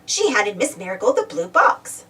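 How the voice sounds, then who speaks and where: A woman narrating a children's story aloud in an animated read-aloud style. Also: mid-range voice, normal speed, recorded from a distance. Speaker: storybook reader.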